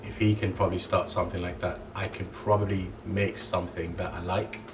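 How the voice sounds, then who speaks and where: A young man who grew up in Zambia, a clothing brand co-founder, talking casually and relaxed, with lots of "you know" fillers. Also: sits low, brisk. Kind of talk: casual interview monologue. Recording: distant mic with clear background noise.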